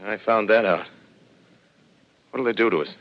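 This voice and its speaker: An actor playing a weary, shaken male scholar who speaks in a subdued, measured, questioning way. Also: on the low side, close to an everyday voice. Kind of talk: 1930s radio drama acting. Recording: dull lo-fi vintage radio broadcast.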